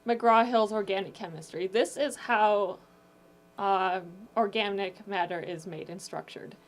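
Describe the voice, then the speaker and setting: Woman calmly explaining her story world. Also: mid-range voice, unhurried. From Texas. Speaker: podcast guest, audio drama creator. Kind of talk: casual chat.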